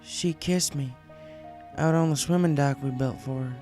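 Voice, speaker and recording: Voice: mid-range voice, normal speed, warm tone, faint music behind, close to an everyday voice. A performer voicing an earnest, troubled young female farm pony in a story reading. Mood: confessing, tender.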